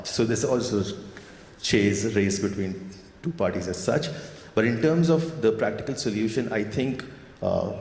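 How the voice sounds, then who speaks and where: A man answering a question on a conference panel, measured and explanatory, with occasional fillers. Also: lowish voice, a little mellow. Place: conference hall. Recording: echoey hall with faint ambience.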